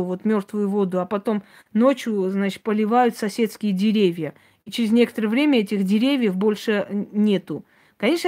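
A woman's voice: medium pitch, darkish tone. A woman giving an informal talk and answering listeners as she goes, in a blunt, opinionated, conversational manner. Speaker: host, self-described witch. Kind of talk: informal talk to live audience, answering comments.

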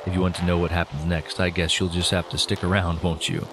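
A man's voice: low-pitched, flat delivery, quick pace. A man hosting a fiction podcast, giving a casual scripted outro straight to his listeners. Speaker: podcast host. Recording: clearly noisy background.

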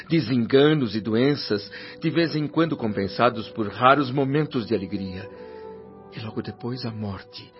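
A man's voice: medium pitch, lightly acted. A man playing a grieving, self-pitying doctor. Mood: despairing. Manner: lamenting, pleading. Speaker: André Luís. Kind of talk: radio drama acting. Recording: dull radio drama audio with faint music.

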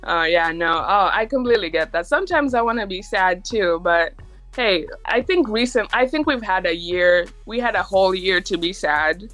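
A woman's voice: mid-range voice, lively intonation, moderate pace, fairly bright tone, faint music behind. A young woman, a recording artist, chatting casually in an interview and filling her talk with "like" and easy laughs. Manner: chatty, lots of "like" fillers, laughs easily.

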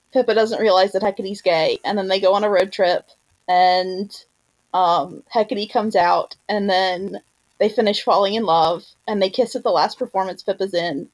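A woman podcast host in relaxed conversation, with a mid-pitched voice. She talks informally and has a giggly way about her, as in a casual chat among fans. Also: poor audio.

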